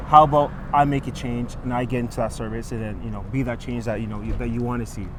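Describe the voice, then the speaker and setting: Canadian young male officer, easygoing interviewee, outdoors with clear street traffic. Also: mid-range voice, quick pace. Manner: relaxed, easygoing, laughs easily. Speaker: police officer. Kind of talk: casual interview answers. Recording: street noise with passing cars. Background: clear traffic noise.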